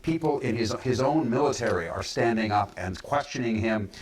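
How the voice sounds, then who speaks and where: A man speaking as a pundit in a political discussion, emphatic but halting, often repeating words as he builds his point. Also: mid-range voice, expressive, rough recording. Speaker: panel pundit.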